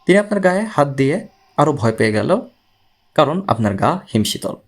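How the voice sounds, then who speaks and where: A man voicing a patient, analytical male investigator in an audiobook story, explaining and questioning in a measured way. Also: a little high, very animated. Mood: explaining intently.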